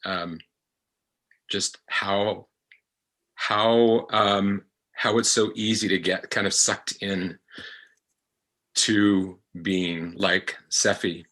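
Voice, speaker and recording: A man talking reflectively in a discussion, earnest and often pausing to search for his words. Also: low voice, flat delivery, a bit bright. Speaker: podcast actor, guest. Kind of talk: reflective discussion of a podcast episode.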